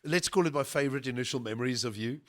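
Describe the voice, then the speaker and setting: A man's voice: medium pitch, fast talker, fairly bright tone. A man hosting a casual podcast interview, joking and teasing his guest with puns. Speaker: podcast host.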